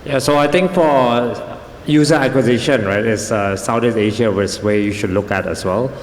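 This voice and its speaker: A man from Thailand answering on a conference panel, halting and searching for words. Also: medium pitch. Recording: echoey conference hall.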